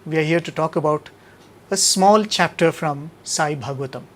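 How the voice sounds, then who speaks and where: A man with an Indian accent hosting a devotional satsang, speaking in a measured, emphatic way with rhetorical questions and repeated phrases. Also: fairly high pitch. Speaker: host of a devotional radio satsang. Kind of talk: spoken devotional talk to an audience.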